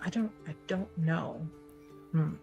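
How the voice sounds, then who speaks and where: Woman player in a tabletop rpg, kinda low voice, really unhurried casual talk, music behind her. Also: darkish tone. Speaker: player in a tabletop roleplaying game. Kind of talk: casual improvised game talk.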